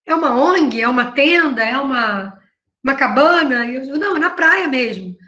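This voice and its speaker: A Brazilian woman speaks in a notably high voice, talking through her research like a professor at an online panel. She keeps it conversational, checks in with her listeners and corrects herself as she goes. Her voice rings a little in an echoey room. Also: animated, normal speed, bright, forceful tone, muffled recording. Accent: Brazilian Portuguese. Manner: conversational, frequent "né?" tags and self-corrections. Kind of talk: academic panel talk presenting her work. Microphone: video call.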